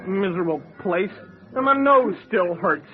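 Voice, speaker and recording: An actor playing a short, cranky, cowardly small-time crook, wisecracking and sarcastic in a radio comedy thriller. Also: male voice, sits high, lively intonation, a little slow. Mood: grumbling. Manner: sarcastic, grumbling. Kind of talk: old-time radio horror-comedy acting. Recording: thin, narrow radio sound with music.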